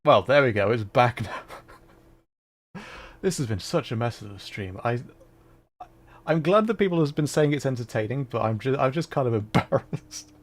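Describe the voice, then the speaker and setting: A man streams a video game and chats casually as he goes. His voice sits at an ordinary middle pitch, and his manner is self-deprecating and apologetic. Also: lively intonation, moderate pace, pauses often. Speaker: livestreamer, VTuber. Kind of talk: casual livestream chat while playing a game.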